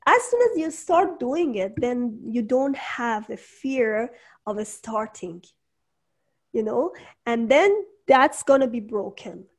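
Brief: woman, non-native English speaker, higher voice, slow unhurried delivery, lively and laughing. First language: not English. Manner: animated, laughs often, acts out quoted voices. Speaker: podcast host and entrepreneur. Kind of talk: casual conversation.

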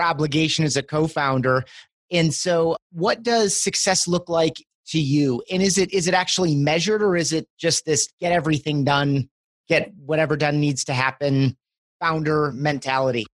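A man's voice: fairly high pitch, dry recording. Male podcast interviewer, encouraging and articulate, dry close-miked recording. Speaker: guest podcast host, interviewer.

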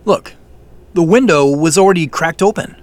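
A man's voice: fairly high pitch, moderate pace, no room sound, natural voice. An actor playing a defensive young man who has been caught sneaking around a farm and turns accusing in an audio drama.

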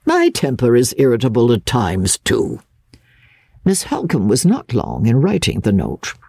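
Woman, dramatic literary narration, deliberate delivery, wide-ranging intonation, husky tone. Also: very deep voice, huge pitch swings, warm tone, dry recording, studio quality. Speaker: audiobook narrator.

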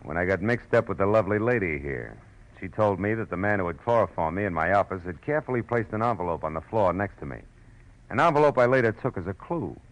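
A man's voice: low-pitched, animated, fast talker, poor audio. An American man playing a weary, cynical hard-boiled detective in 1940s radio drama, clipped and sardonic. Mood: wry. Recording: old radio broadcast with music.

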